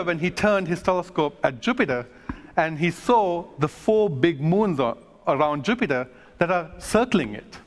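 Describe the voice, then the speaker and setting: Earnest man speaking in a reverberant hall. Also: high-pitched. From Sri Lanka. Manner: earnest, thoughtful, with fillers and restarts. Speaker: astronomer giving a talk. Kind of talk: stage talk then casual Q&A. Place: conference stage.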